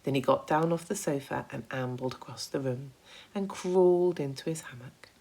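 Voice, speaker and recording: A woman reading a children's story aloud with expressive narration, like a primary school teacher. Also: extremely low pitch, moderate pace, slightly rough.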